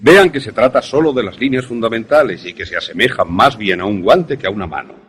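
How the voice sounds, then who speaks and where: A man acting an enthusiastic professor in a radio drama, lecturing in a deliberate, didactic, theatrical way. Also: lowish voice, expressive, fairly bright tone, slight background noise. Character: enthusiastic male professor demonstrating an invention to students.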